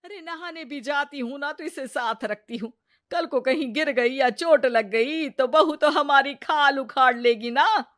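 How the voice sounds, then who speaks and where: A woman plays a middle-aged mother-in-law from a family cartoon, forever complaining and self-pitying. The voice is on the high side, exclamatory and nagging. The sound is close and very dry. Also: measured pace, a bit bright, no echo. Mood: self-pitying complaint. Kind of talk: Hindi moral-story cartoon voice acting.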